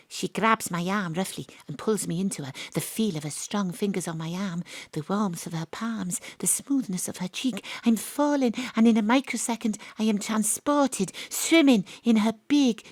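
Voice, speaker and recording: A woman giving comic first-person narration as a detective, brisk, wry and self-assured. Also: medium pitch, darkish tone, no echo.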